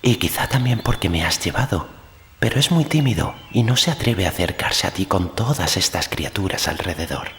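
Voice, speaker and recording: Man playing an earnest, gentle boy, pitched up. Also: animated, normal speed, slight background noise. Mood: gentle, solemn. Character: earnest young boy master, gentle and kindly.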